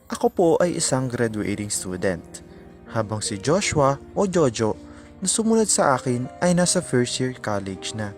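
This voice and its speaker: A man's voice: mid-range voice, sing-song, normal speed. A man playing a radio DJ, reading a listener's heartfelt letter as dramatic narration over background music. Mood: earnest, heartfelt. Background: clear background music.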